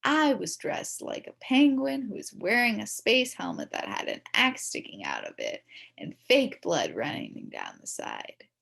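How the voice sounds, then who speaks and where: A woman's voice: medium pitch, wildly swooping pitch, unhurried, creaky, a bit bright, exaggerated voice. A woman voicing a cheeky, sarcastic schoolboy in a storybook, bratty and emphatic. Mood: proud.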